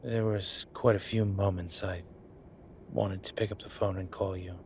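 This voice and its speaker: A man playing a polite, flirtatious incubus demon in an intimate roleplay audio drama, with hesitant, formal phrasing and a softness that turns breathy at times. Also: sits low, little pitch movement, moderate pace, a little hiss or hum. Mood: flirtatious, teasing. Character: courteous, flirtatious male incubus demon, restrained and apologetic.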